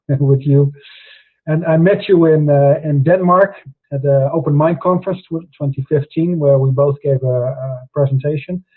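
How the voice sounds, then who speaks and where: Halting man on a tinny call. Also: on the high side. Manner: halting, with many fillers and restarts. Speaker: host. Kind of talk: hesitant live interview introduction. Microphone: video call. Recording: tinny phone-like audio.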